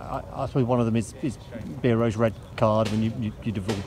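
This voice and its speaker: Rambling English club interviewer. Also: male voice, lowish voice, steady tone, fast, a crowd in the background. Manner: hesitant, rambling questions. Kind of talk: post-match interview.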